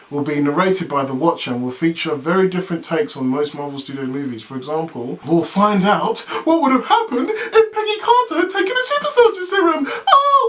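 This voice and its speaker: Male YouTube commentator, higher-pitched voice. Casual and off the cuff, opinionated, blunt, throws out rhetorical questions.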